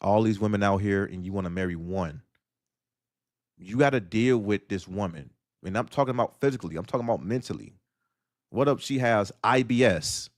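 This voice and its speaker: A man's voice: lowish voice, a little fast, no echo, studio quality. Blunt preachy man ranting solo. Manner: preachy, blunt, hammering rhetorical questions. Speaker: livestream host. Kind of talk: solo livestream monologue.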